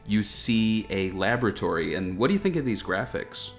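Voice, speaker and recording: A man's voice: lowish voice, big pitch swings, normal speed. A man hosting a gaming news show, giving casual, wryly joking commentary on a video game trailer. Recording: music behind the voice. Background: background music.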